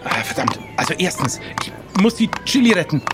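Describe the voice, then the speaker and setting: A man's voice: high-pitched, sing-song, a little fast, over loud music. A man playing along in an improvised adventure audio play, acting and thinking aloud in the first person. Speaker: player in an improvised pirate adventure.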